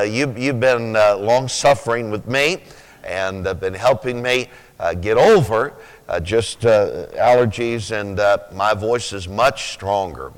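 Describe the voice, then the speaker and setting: A man in his sixties with a rough, sleepy-sounding voice, preaching a sermon slowly, with many pauses, then rising with fervent emphasis. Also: lowish voice. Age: 60s. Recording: reverberant hall.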